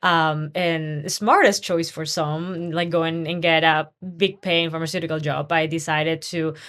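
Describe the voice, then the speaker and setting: A woman's voice: low-pitched, fairly even intonation, measured pace, fairly bright tone. A woman from Peru, a scientist guest on a podcast, talking earnestly and passionately, often restarting her sentences. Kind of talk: podcast interview conversation.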